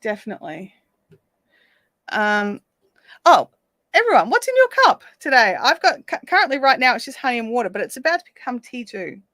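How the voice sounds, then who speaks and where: Woman, fairly high voice, slightly raspy, casual craft-stream chat, reads comments aloud, dry close sound. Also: sing-song, fairly quick, sharp tone, no room sound. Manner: chatty, reads viewer comments aloud, counts stitches under her breath. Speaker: craft livestream host. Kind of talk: casual chat while crocheting. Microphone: desk microphone.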